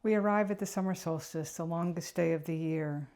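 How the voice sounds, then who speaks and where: Low, mellow woman guiding a meditation. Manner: gentle, unhurried, reflective delivery. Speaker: meditation guide. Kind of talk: guided meditation narration.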